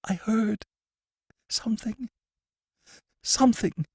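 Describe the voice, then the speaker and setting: A man voicing a terrified male prisoner who stammers and pleads in a high, strained, cracking voice, as in an audiobook. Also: takes it slowly, breathy edge, dry recording, studio quality, full character voice. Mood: sobbing, stammering. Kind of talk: audiobook character voice.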